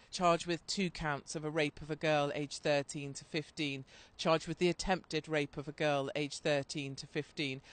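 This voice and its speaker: A woman, speaking as a reporter and interviewer. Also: low-pitched.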